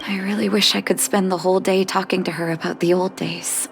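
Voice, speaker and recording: A woman playing a gentle, dutiful young doctor in a video game, with a soft, earnest, sometimes breathy delivery. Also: medium pitch, little pitch movement, fairly quick, natural voice. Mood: wistful, resolved. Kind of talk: video game story voice acting. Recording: music behind the voice.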